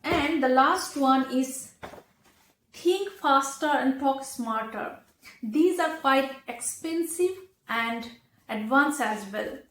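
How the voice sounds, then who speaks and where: A woman English teacher giving instructional talk to viewers in a deliberate, carefully enunciated way with pauses between phrases. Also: high-pitched, a bit bright. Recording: echoey, roomy sound.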